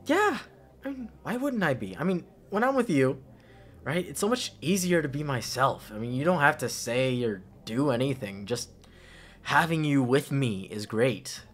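Man acting as animated, rambling young best friend. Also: mid-range voice, lightly acted. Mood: affectionate, nervous. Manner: rambling, halting, repeats himself, slangy. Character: young male best friend at a party, affectionate, goofy, reassuring. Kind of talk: intimate ASMR roleplay acting, casual.

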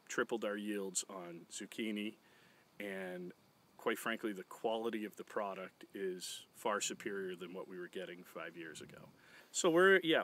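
A man's voice: on the low side, a bit bright. A man in his forties, a farmer, talking plainly about his farm in an outdoor interview, with a good number of fillers. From Massachusetts. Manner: plain, matter-of-fact, with frequent fillers. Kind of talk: casual interview talk.

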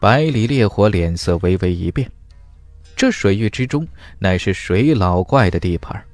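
A man's voice: lowish voice, lively intonation, moderate pace, dry recording, soft background music. A man reading a fantasy audiobook as narrator, in a dramatic scripted storytelling style.

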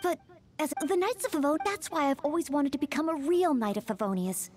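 An eager, high-voiced young maid. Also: female voice, sing-song, a little fast, darkish tone. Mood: eager, polite. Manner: courteous, apologetic, earnest. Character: polite, eager young female maid aspiring to knighthood. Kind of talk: anime-style video game acting.

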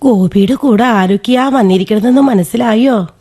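A woman's voice: fairly high pitch, normal speed, mellow, slightly rough. A woman playing a plain-spoken, chiding old village great-aunt in a radio drama, chatty and busy. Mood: fond. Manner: chatty, proverb-quoting, mildly reproachful.

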